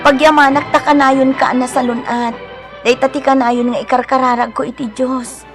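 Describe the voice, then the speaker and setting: A high, emotive voice with an Ilocano accent over faint music. It is a devout, caring young mother played by a woman in a radio drama, tender and earnest in the way she speaks. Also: level tone, moderate pace, natural voice. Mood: grateful, warm.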